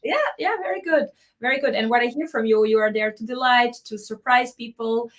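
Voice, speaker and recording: A woman's voice: a little high, brisk. A woman hosting an online panel, asking questions and reading out viewer comments. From Serbia. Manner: warm encouraging questioner, reads chat comments aloud. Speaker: host.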